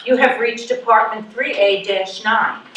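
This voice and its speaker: A woman's voice: on the high side, fairly even intonation, a little slow, a bit bright, slight background noise. Stage role: stiff, unhelpful female bureaucrat acted by a woman, reciting jargon, roomy distant sound. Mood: officious. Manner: formal, jargon-laden, impersonal. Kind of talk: readers theatre stage performance. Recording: echoey hall, distant microphone.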